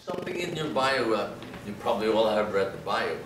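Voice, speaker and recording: A man's voice: fairly low pitch, expressive, a little fast, far from the mic. A man with a foreign accent, not a native English speaker, chattily hosting an onstage concert interview in a noisy, roomy hall. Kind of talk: informal onstage interview before an audience.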